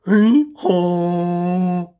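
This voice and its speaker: A storyteller voicing a comic storybook donkey with an exaggerated, drawn-out braying hee-haw. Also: medium pitch, lively intonation, dark tone, smooth.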